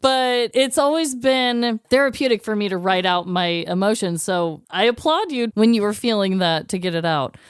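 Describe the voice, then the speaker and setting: A woman's voice: medium pitch, normal speed, hardly any pauses, smooth, no echo, studio quality. A woman co-hosting a podcast, chatting casually and frankly in a supportive way. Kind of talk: candid casual chat.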